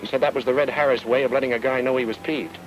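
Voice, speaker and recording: An actor playing a tough, take-charge private detective with clipped, slangy, hard-boiled delivery in 1940s film noir style. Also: male voice, medium pitch, steady tone, rapid-fire, a little hiss or hum, close to an everyday voice, rough recording. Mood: explaining, wry. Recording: old film soundtrack with background music.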